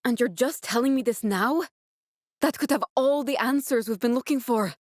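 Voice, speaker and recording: A woman's voice: on the high side, moderate pace, no room sound, studio quality, lightly acted. An actress voicing a determined, caring young woman scientist in a video game, speaking in an earnest, direct, instructive way with naturalistic dramatic delivery. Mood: surprised, urgent.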